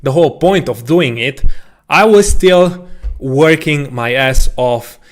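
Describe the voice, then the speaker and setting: A man whose first language is Romanian, telling a casual personal story to camera as a coding educator, with a relaxed, self-deprecating manner. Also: medium pitch, takes it slowly. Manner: relaxed, self-deprecating, frequent restarts and small laughs.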